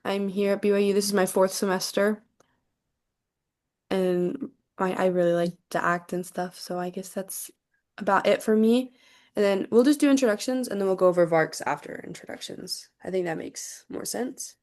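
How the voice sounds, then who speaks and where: A woman's voice: mid-range voice, steady tone, moderate pace, a little mellow. A woman in her twenties from Tennessee, a college student casually leading introductions on a video call, friendly and a bit hesitant with lots of ums.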